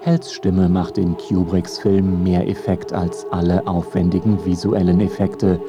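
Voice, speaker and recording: Deep male narrator, wry, measured, scripted radio feature, music behind. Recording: studio narration over music bed.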